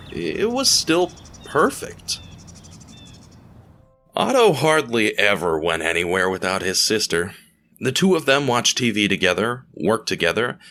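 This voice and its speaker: A man narrating a scripted family drama in a measured storytelling manner. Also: on the low side, pushed and bright, slight background noise.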